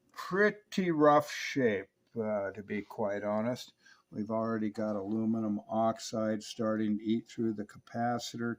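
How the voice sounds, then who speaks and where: A man's voice: medium pitch, darkish tone. A man giving an informal tutorial as a radio technician, in a folksy, plain-spoken and explanatory way. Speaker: vintage radio collector and repair technician. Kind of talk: buying tips tutorial, informal narration.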